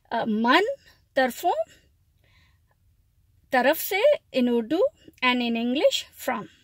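Slow-speaking woman in a car. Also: on the high side, no echo. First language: Punjabi. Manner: hesitant, many fillers, lists word meanings. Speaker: language learner. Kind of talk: casual video diary. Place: inside a parked car. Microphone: phone held at arm's length.

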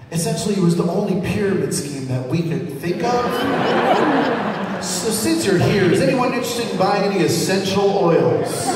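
A man from Detroit bantering with a concert audience on stage, joking and thanking the crowd. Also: a little high. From Detroit, USA. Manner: joking, shouted to the house. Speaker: singer introducing himself on stage. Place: large concert hall, on stage. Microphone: handheld stage mic, filmed from the audience. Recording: echoey hall from far off, crowd noise.

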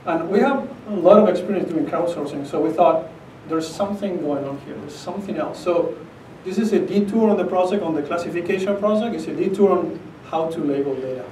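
A man's voice: a little high, a little fast, few pauses, warm tone, slight background noise. Argentine man lecturing in an echoey hall. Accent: Argentine Spanish. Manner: emphatic, conversational, often checks with "okay?". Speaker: conference speaker. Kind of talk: academic conference talk. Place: conference room with projector screen. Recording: echoey conference room, captured from a distance.

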